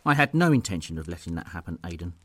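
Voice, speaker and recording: A man's voice: deep voice, sing-song, quick pace, fairly bright tone, heavily acted. A man playing a stern but loving noble lord and father in an audio drama, speaking in a formal, archaic, commanding way. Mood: firm, resolved.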